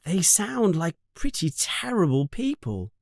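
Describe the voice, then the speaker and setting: A woman's voice: fairly low pitch, very animated, unhurried, gravelly, a little mellow, dry recording, clean studio sound, pitched above the performer's own voice, exaggerated voice. A woman voicing a polite, bewildered and unhappy schoolboy wizard in a storybook, with an earnest, questioning manner. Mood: polite, concerned.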